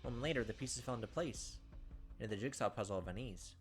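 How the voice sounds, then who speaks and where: A man's voice: mid-range voice, fast, soft background music. A man hosting a gaming video, reading visual novel narration and commenting casually.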